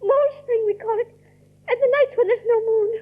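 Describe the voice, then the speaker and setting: Shrill, tearful Puritan witness. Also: female voice, moderate pace. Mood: tearful fervour. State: tearful, quavering. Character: frightened, fervent Puritan village woman bearing witness. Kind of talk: audio drama acting. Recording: narrow-band, old radio sound.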